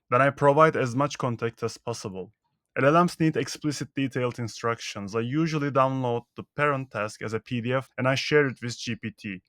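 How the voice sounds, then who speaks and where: A man whose first language is Turkish walks through a scripted tutorial on his working methods. He moves from one tip to the next in a brisk, matter-of-fact voice. The mic is close and the sound is dry, with no room around it. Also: medium pitch, fairly bright tone, no room sound. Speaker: software engineer.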